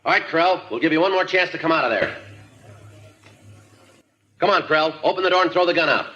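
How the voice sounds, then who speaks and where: A man's voice: on the high side, fairly quick, pushed and bright. An American man playing a terse, no-nonsense police detective who also narrates, clipped and matter-of-fact, in a 1950s radio crime drama. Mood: commanding, shouting. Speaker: actor. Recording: muffled vintage 1950s radio recording.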